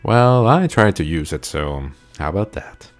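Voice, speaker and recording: A man's voice: low voice, very animated, a little slow, soft background music. A man whose first language is Italian, reading game dialogue aloud in a let's play, playful and swearing casually. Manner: playful, laughs at his own lines, swears casually. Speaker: gamer.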